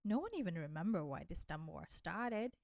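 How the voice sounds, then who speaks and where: Casting: woman as flirty female vampire for intimate roleplay audio, teasing and nurturing, creaky vocal fry, very dry sound. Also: on the low side, very animated, darkish tone, no room sound. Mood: soothing, wry. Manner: slow, teasing, coaxing, addressing listener with pet names.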